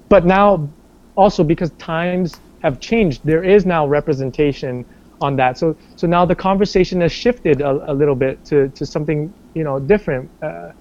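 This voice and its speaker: Rambling male actor on a dull, lo-fi line. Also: a little mellow. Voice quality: bass singing voice. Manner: rambling, filler-heavy, many restarts. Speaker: stage and screen actor, interview guest. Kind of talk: casual interview talk. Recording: dull lo-fi sound.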